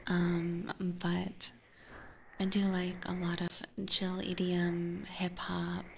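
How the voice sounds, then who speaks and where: A woman hosting an ASMR livestream, chatting with viewers in a soft, breathy whisper close to a binaural microphone. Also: on the low side, completely flat delivery, slow, a little hiss or hum. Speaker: livestream host.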